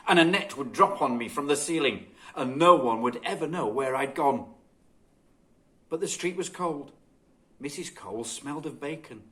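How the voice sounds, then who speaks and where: A man reading a children's story expressively and dramatically, with deliberate phrasing. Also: mid-range voice, pushed and bright. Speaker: guest storybook reader.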